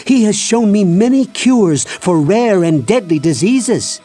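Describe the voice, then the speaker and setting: Village healer, game character: gentle, earnest, warm-toned, lilting. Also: male voice, sits high, lively intonation, measured pace, soft background music. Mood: reverent, worried. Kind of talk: video game character acting.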